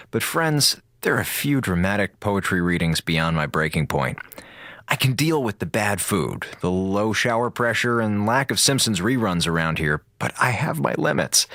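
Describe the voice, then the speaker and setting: An actor playing a wry, chatty slacker communications officer keeping an audio log in a space station radio drama, with melodramatic sarcasm. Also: male voice, fairly low pitch, bright, forceful tone, dry recording, studio quality. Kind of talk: radio drama acting as a conversational monologue.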